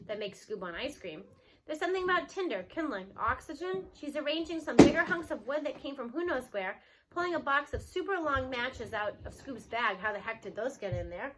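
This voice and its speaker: A woman giving an expressive read-aloud of a children's novel. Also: high voice, slight background noise, low-quality recording.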